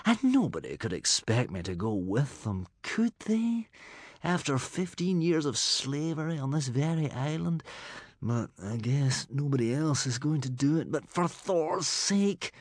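A man's voice: a little high, very animated, a little slow, no echo, studio quality, pitched above the performer's own voice. A man voicing a reluctant, exasperated middle-aged warrior hero in a children's fantasy audiobook, grumbling and pleading. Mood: aggrieved, grumbling. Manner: grumbling, pleading, rhetorical questions.

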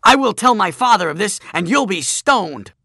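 Storybook village bully, a boy played by a male narrator: high, forceful, indignant shouting, pompous and panicky. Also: expressive, normal speed, dry recording, studio quality, exaggerated voice. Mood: shrill, accusing.

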